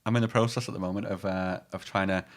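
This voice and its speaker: Easygoing low-voiced man. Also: steady tone, fast. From England. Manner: easygoing, laughs along. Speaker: radio presenter. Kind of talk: casual chat.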